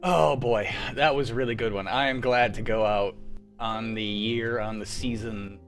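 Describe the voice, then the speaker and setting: A man's voice: fairly low pitch, steady tone, moderate pace, soft background music. A young man hosting a casual after-show chat on a video call, rambling with lots of fillers. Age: young adult. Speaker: host of a live radio-play reading show. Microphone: home mic on a video call.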